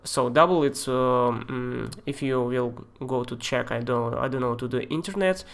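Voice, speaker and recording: Russian-accented guy doing a live screen tutorial, halting, lots of uh and um, mid pitch. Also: creaky. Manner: halting, with fillers and non-native grammar. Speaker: software tutor.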